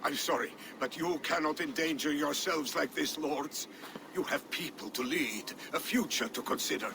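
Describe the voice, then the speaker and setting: Man doing old viking healer, gravelly, grave and earnest, ambient noise. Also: fairly high pitch, moderate pace, close to an everyday voice. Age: older. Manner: earnest, scolding. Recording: game cutscene with ambient noise.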